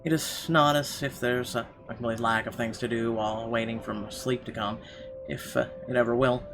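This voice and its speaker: A man's voice: mid-range voice, steady tone, moderate pace, gravelly. A man reading a horror visual novel's narration aloud as a gamer, in a dramatic storytelling way with occasional stumbles. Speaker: let's-play gamer. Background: game music.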